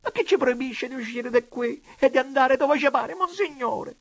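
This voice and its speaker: A reader voicing an excitable, gushing older noblewoman with pleading, effusive repetitions, in a dramatic audiobook. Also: high voice, extremely slow, pitched above the performer's own voice, heavily acted. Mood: tearful. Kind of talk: dramatic audiobook character reading.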